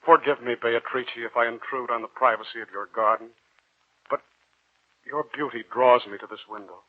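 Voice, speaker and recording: An actor playing an earnest, romantic young student, courteous and measured, speaking and narrating in 1940s radio style. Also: male voice, medium pitch, steady tone, lightly acted. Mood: smitten, ardent. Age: young adult. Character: earnest, romantic young male medical student, smitten and uneasy. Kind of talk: 1940s radio acting, dramatic first-person narration. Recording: muffled 1940s radio recording with music.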